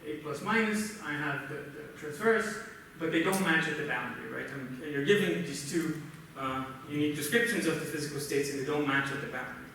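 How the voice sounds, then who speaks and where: Echoey, bright-voiced Brazilian man lecturing. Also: a little high. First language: Brazilian Portuguese. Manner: halting, explanatory, often checks with "right?". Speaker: academic conference speaker. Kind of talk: technical lecture with slides. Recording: roomy, noisy conference hall, distant mic.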